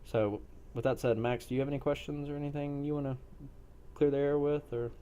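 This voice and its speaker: A man speaking as a game master, explaining a role-play session in a deliberate, measured way. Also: on the high side, fairly even intonation, dark tone, smooth, highs cut off.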